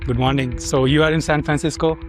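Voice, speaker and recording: A man hosting an interview, putting questions in a measured, slightly hesitant way. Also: fairly high pitch, fairly even intonation, over music. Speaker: interviewer, host.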